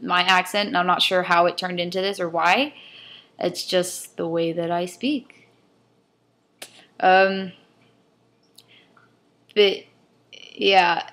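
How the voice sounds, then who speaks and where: A woman's voice: medium pitch, fairly bright tone. A young woman from California with a mixed, Chinese-influenced Californian accent, rambling hesitantly in a casual vlog rant. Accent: California, mixed with a Chinese-immigrant lilt. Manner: rambling, hesitant, thinking aloud with many ums. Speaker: vlogger.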